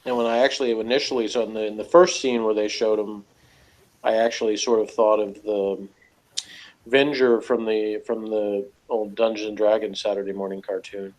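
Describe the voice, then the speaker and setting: A man's voice: lowish voice, flat delivery, moderate pace, a little mellow, rough recording. A man giving rambling, loosely phrased commentary in a casual review discussion. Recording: faint background noise.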